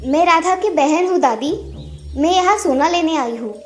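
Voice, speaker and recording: A woman's voice: extremely high pitch, animated, normal speed, a little mellow, faint music behind. A woman voicing a greedy, arrogant, impatient young daughter in a moral-story cartoon. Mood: impatient, demanding.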